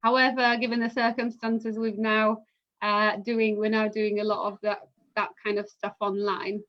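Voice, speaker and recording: A woman from Poland, a university recruitment manager, speaking in a measured, hesitant way with many fillers during a live admissions session from home over a video call. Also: mid-range voice, little pitch movement. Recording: muffled lo-fi online call audio.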